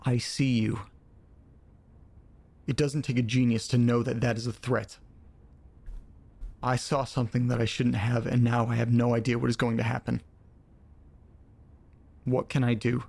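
A man playing a troubled, profane reader of strange letters in an audio drama, speaking in a deliberate storytelling way. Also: mid-range voice, little pitch movement, creaky, a little mellow. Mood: tense, haunted.

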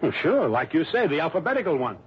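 High-voiced, exasperated insurance man. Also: sing-song. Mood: wary, grudging. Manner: folksy, exasperated, slow to catch on. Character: excitable, grumbling, penny-pinching male insurance man. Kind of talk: 1960s radio drama acting. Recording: dull, noisy vintage radio recording.

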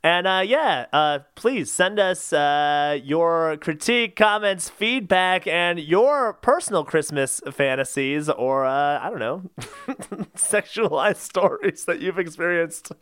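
A man co-hosting a podcast in casual comedic chat, with a dry, halting delivery. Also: fairly high pitch, lively intonation, fairly bright tone, smooth, no room sound. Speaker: podcast co-host.

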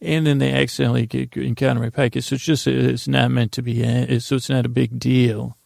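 Gravelly rambling man, sleepy drawl. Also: mid-range voice, flat delivery, darkish tone, dry recording, clean studio sound. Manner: meandering, halting, many restarts and drawn-out pauses. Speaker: sleep podcast host. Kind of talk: rambling bedtime monologue.

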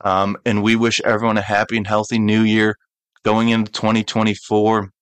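A man's voice: on the low side, completely flat delivery, moderate pace, smooth, dry recording. A man filling in as a radio host, talking casually with many restarts and ums. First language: English.

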